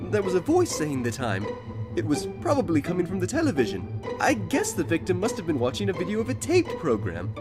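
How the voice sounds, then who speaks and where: A man's voice: high-pitched, huge pitch swings, fairly quick. A man playing a shifty, nervous salesman giving theatrical witness testimony in a courtroom game fan dub. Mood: insistent. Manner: theatrical, stammers when challenged. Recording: loud music under the voice.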